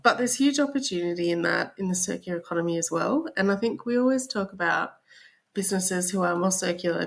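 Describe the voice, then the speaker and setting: A woman's voice: on the low side, gravelly. A woman with an Australian accent, explaining things conversationally as a guest in a podcast interview.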